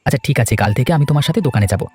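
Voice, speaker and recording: A man acts out a supportive village husband for a cartoon. The voice is medium in pitch and mellow, moving quickly. The manner is chatty, plain-spoken and encouraging. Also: soft background music, close to an everyday voice. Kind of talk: cartoon dubbing.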